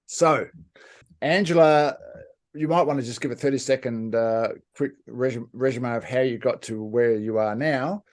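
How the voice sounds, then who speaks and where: Chatty Australian man hosting a webinar. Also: medium pitch. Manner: chatty and informal, with quick asides and fillers. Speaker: host of a live online event. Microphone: video call.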